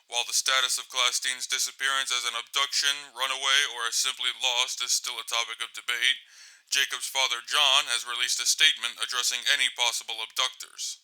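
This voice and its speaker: A man's voice: medium pitch, level tone, a little fast, pushed and bright, smooth, low-quality recording. A man playing a composed news reporter, reading scripted news in a formal, articulate way.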